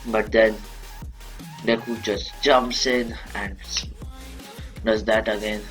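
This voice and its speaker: A young Indian man narrates a fan story in English, his second language after Marathi. His voice sits low, and he stops often to restart and correct himself. Soft music plays under his voice. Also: little pitch movement. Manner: halting, many restarts and corrections. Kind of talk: retelling a fan story in plain speech.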